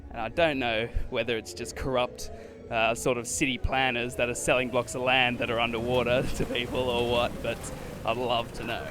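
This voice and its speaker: Man, podcast host, medium pitch, casual travel talk, rambling, hesitant, background music. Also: level tone, normal speed, few pauses.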